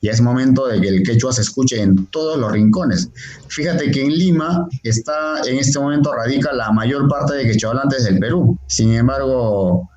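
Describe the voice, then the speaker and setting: A man's voice: mid-range voice, normal speed, darkish tone. Earnest man on an echoey call. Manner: earnest, with many "eh" fillers and restarts. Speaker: quechua teacher and translator, guest. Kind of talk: interview over a call, explaining. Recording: echoey, dull lo-fi call audio.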